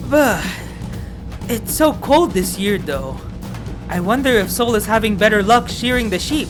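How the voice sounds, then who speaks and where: A woman's voice: medium pitch, animated, measured pace, close to an everyday voice. A woman voicing an earnest, hardworking young giant farmer from a game, musing wistfully. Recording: music behind the voice.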